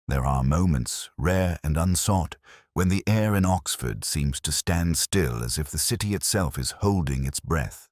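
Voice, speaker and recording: A man with an extremely low voice narrates detective fiction in a measured, brooding way. His pitch rises and falls expressively through each literary sentence. The sound is close and dry, with almost no room around it. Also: a little mellow, breathy edge, no room sound. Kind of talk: scripted first-person detective narration.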